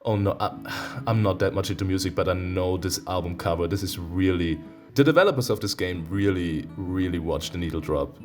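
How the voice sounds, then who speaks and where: A man reading a visual novel aloud as a let's-play host, breaking off into expressive, teasing reactions, with guitar music behind him. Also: low voice, fairly quick.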